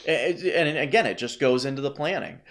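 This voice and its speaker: A man's voice: medium pitch, brisk, a bit bright. A man co-hosting a casual TV review chat, enthusiastic and rambling, with lots of ums and restarts. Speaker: co-host of a TV review show.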